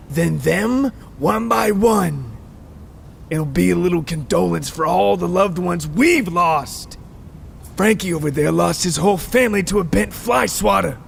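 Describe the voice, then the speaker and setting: A man's voice: high voice, vocal fry, fairly bright tone, a little hiss or hum, pitched above the performer's own voice, exaggerated voice. A man voicing a menacing, aggrieved giant spider crime boss who makes threatening speeches in an audio story.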